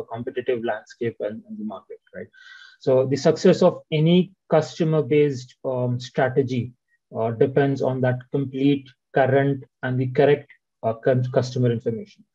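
Male presenter on a webinar call, warm tone, muffled call audio, lots of "uh"s. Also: medium pitch. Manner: frequent "uh" and "right?" tags. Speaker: senior product manager presenting. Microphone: video call.

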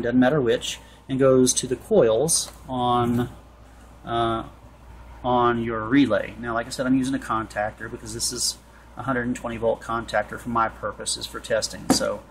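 A man in his forties gives an informal hands-on technical explanation, thinking aloud haltingly, recorded on a camera in an indoor workshop. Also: mid-range voice, a little hiss or hum. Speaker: HVAC tutorial presenter. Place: indoor workshop with metal shelving.

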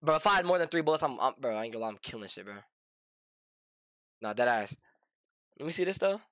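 A man's voice: mid-range voice, big pitch swings, quick pace, a bit bright, dry recording. A man chatting and reacting during a game in casual slang, emphatic and often repeating himself.

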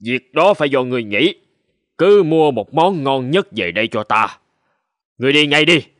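An actor playing a haughty, bossy middle-aged master in a cartoon folk tale, speaking lines imperiously. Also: male voice, mid-range voice, animated, moderate pace, a bit bright, no echo, studio quality, lightly acted. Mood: commanding. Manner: imperious, scolding servants. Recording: music behind the voice.

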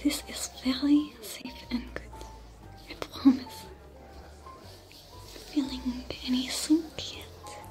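A woman voicing a secretive, caring female alien smuggler with a French accent, in a soft, breathy, close-mic ASMR whisper that stays gentle and reassuring. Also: high-pitched, steady tone, slow pace, pauses often. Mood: soothing whisper. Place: indoor set dressed as a sci-fi bar. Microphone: close sensitive mic. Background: soft music.

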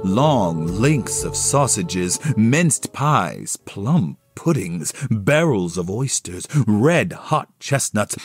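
A man narrating a classic story as a solo audio play, with theatrical, deliberate phrasing. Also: fairly low pitch, breathy edge, dry recording, studio quality. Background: music under the voice.

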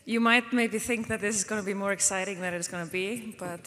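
A woman's voice: mid-range voice, brisk, slight background noise. Woman from Iceland chairing a meeting. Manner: relaxed, chatty, with frequent fillers. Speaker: board chair. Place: conference hall stage. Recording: long hall reverb.

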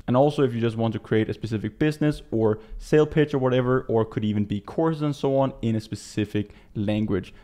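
A man in his twenties from Denmark with a Danish accent, giving a casual software walkthrough as a native Danish speaker. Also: lowish voice. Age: 20s. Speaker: tutorial presenter. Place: small indoor room.

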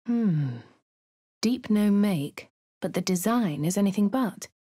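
An actress with a posh English accent voicing a composed female adventurer, reading game narration and voice lines in a crisp, dry manner. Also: medium pitch, expressive, slow, mellow, dry recording. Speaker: voice of the player character.

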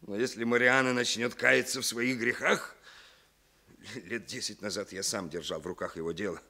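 A shrewd man of influence in an old radio play. His voice is low, bright and forceful, and he lays out his schemes with deliberate emphasis. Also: steady tone. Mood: forceful, scheming. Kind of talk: 1960s Soviet radio drama acting.

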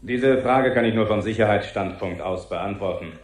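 A man's voice: on the low side, normal speed, lightly acted. Actor as echoey, formal male spokesman. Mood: official, reassuring. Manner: formal, bureaucratic. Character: smooth official spokesman, formal and reassuring. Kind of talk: 1970s German radio play acting. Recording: echoey press-room effect.

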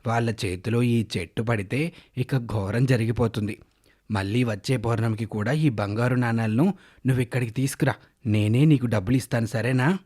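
An actor playing a greedy, scheming gold shop owner who talks slyly in a moral tale. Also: male voice, mid-range voice, moderate pace, a little mellow, natural voice. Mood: slyly persuasive. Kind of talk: dramatised moral storytelling.

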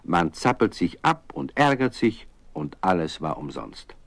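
A man's voice: low voice, sing-song, a little slow, vocal fry, fairly bright tone, no room sound, heavily acted. A man narrating a children's radio story in an avuncular, understanding manner, speaking directly to young listeners. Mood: gentle, explaining.

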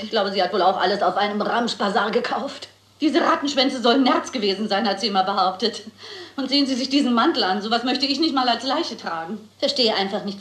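A woman's voice: mid-range voice, a bit bright, reverberant, lightly acted. An actress playing a chatty, catty, insistent widowed landlady in a German crime radio play. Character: gossipy, spiteful, flirtatious widowed landlady.

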